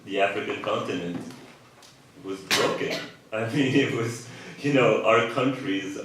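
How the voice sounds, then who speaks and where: Deep-voiced man in a far, echoey hall. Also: a little slow, background noise. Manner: earnest, reflective, casual fillers. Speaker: panelist. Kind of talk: panel discussion.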